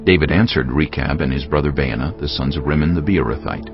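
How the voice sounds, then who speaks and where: A man narrating scripture as a dramatic story, measured and solemn. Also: very deep voice. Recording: slightly dull sound, music underneath. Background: background music.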